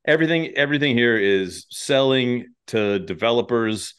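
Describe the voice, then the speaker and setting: A man's voice: mid-range voice, animated, normal speed, fairly bright tone, clear, resonant tone. A middle-aged American man in casual conversation, talking bluntly with plenty of slang and swearing. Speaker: podcast conversationalist. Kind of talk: casual chat.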